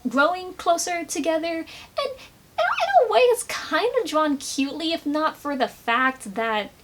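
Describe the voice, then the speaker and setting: High-voiced woman giving a casual recap. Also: a little slow, a little hiss or hum. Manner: animated, sarcastic asides. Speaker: video commentator. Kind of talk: casual fan comic recap commentary.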